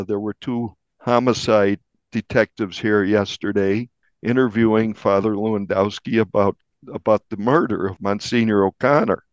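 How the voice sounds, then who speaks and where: A man speaking as a journalist guest, explaining deliberately in an interview and restating points for emphasis. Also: lowish voice, no room sound, lo-fi sound.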